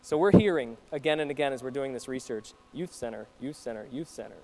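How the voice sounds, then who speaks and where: A man's voice: medium pitch, lively intonation, quick pace, dark tone, slight background noise, poor audio. A man preaching to his congregation in a conversational way, putting questions to the listeners. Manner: conversational, asks the audience questions. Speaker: pastor. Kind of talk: sermon to a congregation. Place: church.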